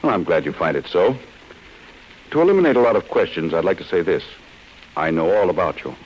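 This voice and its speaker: Stern, very deep-voiced investigator. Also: male voice, expressive. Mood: cold, commanding. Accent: American. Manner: stern, commanding, deliberate. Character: cold, relentless male chief insurance investigator. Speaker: actor in a radio detective serial. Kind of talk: old-time radio acting. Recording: muffled, hissy old radio broadcast.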